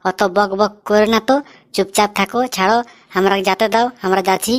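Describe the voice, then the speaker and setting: A woman's voice: medium pitch, level tone, fairly quick, close to an everyday voice. A woman voicing a brash, grumbling young cartoon bridegroom, blunt and mocking. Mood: brash, impatient. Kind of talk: cartoon comedy dialogue.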